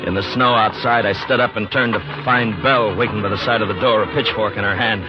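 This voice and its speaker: Man playing a low-voiced, steady frontier marshal. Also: fast talker, close to an everyday voice. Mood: grim, tense. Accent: American. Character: steady, weary frontier marshal in his prime. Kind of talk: 1950s radio western acting, with first-person narration. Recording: dull 1950s radio recording with music.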